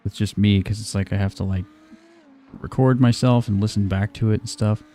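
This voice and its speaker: A man with a quiet voice, streaming a sim race and chatting casually in a low-key, mumbly way while he tests his mic. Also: steady tone, a little fast, slight background noise.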